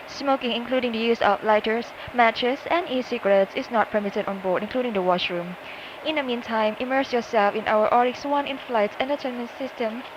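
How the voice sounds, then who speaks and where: A woman working as a flight attendant reads a scripted inflight announcement over an aircraft cabin PA. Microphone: cabin PA system heard through speakers. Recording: muffled cabin PA with loud engine noise. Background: loud aircraft cabin rumble.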